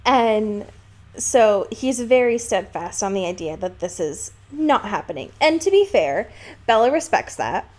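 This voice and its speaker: A woman co-hosting a podcast, chatting casually about a book and retelling it in an amused, halting way with acted-out quotes. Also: medium pitch, very animated, a little mellow. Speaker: podcast co-host. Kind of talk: casual book discussion chat.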